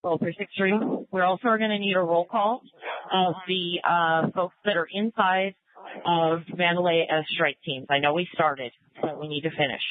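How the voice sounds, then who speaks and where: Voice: mid-range voice, moderate pace. Tinny police commander on radio. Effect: police radio transmission. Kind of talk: radio orders and updates. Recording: tinny narrow-band police scanner audio.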